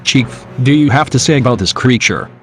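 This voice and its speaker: A man's voice: medium pitch, expressive, normal speed, a bit bright, lightly acted. A man playing a morning news anchor, reading scripted headlines in a businesslike way.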